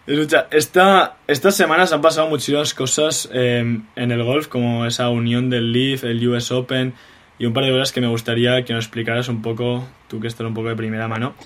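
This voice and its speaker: A young man from Spain hosts a casual interview. His voice sits at a middle pitch. He is chatty and strings his questions together at length. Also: steady tone, brisk, fairly bright tone. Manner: chatty, asks long rambling questions. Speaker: podcast host and interviewer.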